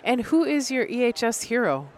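A woman hosting a podcast, doing short interviews at a conference and encouraging each guest with steady murmurs. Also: a little high, moderate pace. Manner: encouraging, frequent backchannel murmurs. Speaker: podcast host.

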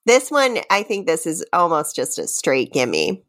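A woman's voice: lowish voice, huge pitch swings, a little slow, fairly bright tone. A woman hosting a podcast in casual comedic chat, with a teasing manner and a theatrical streak when reading lyrics. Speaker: podcast host.

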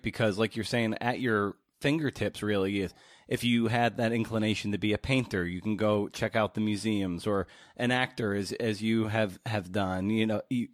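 A middle-aged man with a fairly low voice talks as a podcast host. His delivery is informal and conversational, full of wry, playful asides. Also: no room sound, clean studio sound. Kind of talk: conversational podcast monologue and interviewing.

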